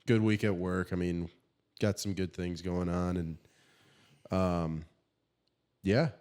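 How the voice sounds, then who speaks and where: A young man with a low voice and a slightly stuffed-up nose chats on a casual podcast. He talks in halting bursts, leaning on fillers and restarting his thoughts. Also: steady tone, darkish tone, clear, resonant tone. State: stuffed up from allergies. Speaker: podcast co-host. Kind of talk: casual chat.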